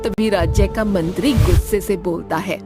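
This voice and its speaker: A woman's voice: mid-range voice, steady tone, normal speed, over music. A woman narrating a scripted moral story for a cartoon as its storyteller.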